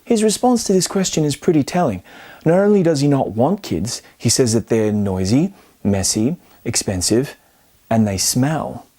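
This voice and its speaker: A man's voice: medium pitch, expressive, moderate pace, warm tone, slightly rough. A man hosting a scripted film video essay, speaking in an emphatic, playfully argumentative and colloquial way. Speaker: film commentary host.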